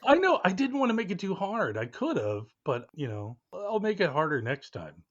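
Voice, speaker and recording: A middle-aged man hosts a podcast in a casual, chatty style. His pitch moves around in a lively way. The recording is a little dull and lo-fi. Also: moderate pace. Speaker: podcast host.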